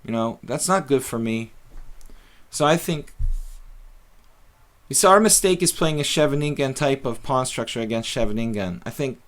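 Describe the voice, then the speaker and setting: A man's voice: medium pitch, normal speed, long pauses, fairly bright tone. A middle-aged man casually commentating his own chess games on a live stream, thinking aloud with many fillers.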